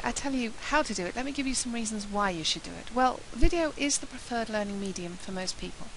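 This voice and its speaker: A woman talking about marketing in a chatty, upbeat way, her voice husky from a flu. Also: a little high, a bit bright, slight background noise, rough recording. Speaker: marketing presenter. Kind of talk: solo talk to camera.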